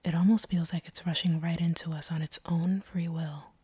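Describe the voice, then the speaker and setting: A woman playing a seductive, teasing, slightly sadistic vampire captor in an intimate audio roleplay, speaking in a breathy whisper close to the microphone. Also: on the low side, fairly even intonation, dark tone, no echo. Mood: seductive, hungry. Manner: slow, teasing, predatory purr. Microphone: close microphone, dry.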